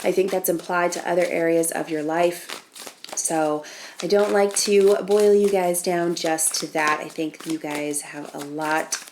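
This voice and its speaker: A woman's voice: lowish voice, steady tone, measured pace, a little mellow, slight background noise. Sniffly, nasal woman reading tarot. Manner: chatty, many ums and restarts, talking through each card. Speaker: tarot reader and channel host. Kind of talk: casual tarot reading to camera.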